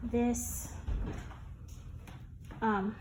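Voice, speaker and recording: A woman giving a casual vlog house tour, talking in a halting, gushing, conversational way. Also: fairly high pitch, flat delivery, a little mellow, slight background noise.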